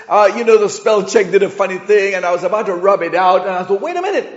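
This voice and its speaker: High-voiced English-accented preacher, man in echoey hall. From Chile. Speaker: visiting preacher and pastor. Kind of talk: sermon. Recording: dull, echoey hall sound.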